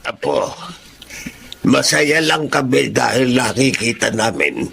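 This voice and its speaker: A man playing a kindly, protective elderly grandfather in a Filipino radio drama, with a creaky voice and a gentle, halting manner. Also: medium pitch, very animated, slight background noise, full character voice. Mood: earnest.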